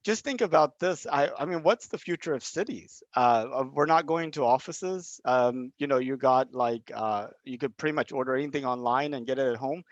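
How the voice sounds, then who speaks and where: A man's voice: medium pitch, normal speed, hardly any pauses, dry recording. A man from Southeast Texas talking casually and unscripted, explaining things in a hesitant, rambling way as an interviewer. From Southeast Texas, USA. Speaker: interviewer running a video project. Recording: dull lo-fi call audio.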